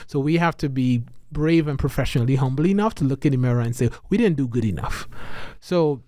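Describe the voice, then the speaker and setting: A man's voice: mid-range voice, fairly quick, mellow. A man with a Trinidadian accent talking in a podcast conversation, earnest and reflective, often checking in with "right?" and restarting his sentences.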